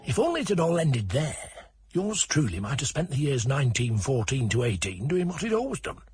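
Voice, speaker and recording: A sardonic Czech rogue telling war stories for radio. His lively, swooping voice wanders into digressions. Music plays clearly behind him. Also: mid-range voice, fairly quick. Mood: wry. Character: wry Czech rogue looking back on the war. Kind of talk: comic storytelling narration in a radio play. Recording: music under the voice.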